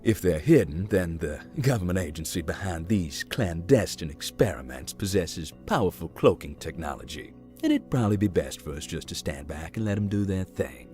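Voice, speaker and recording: A very low male voice plays a giant, laid-back man in a horror visual novel. His delivery is folksy and dry, with lively swings in intonation. Music plays behind him. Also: normal speed, hardly any pauses. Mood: calm, wry. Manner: deadpan, folksy, wry. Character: giant, easygoing, wry man exploring a haunted hospital.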